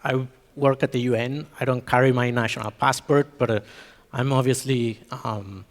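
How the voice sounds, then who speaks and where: A man's voice: mid-range voice, steady tone, normal speed. Hesitant man speaking in a reverberant hall. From Mexico. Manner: hesitant, many ums and restarts. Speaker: conference speaker. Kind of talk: conference talk. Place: conference hall.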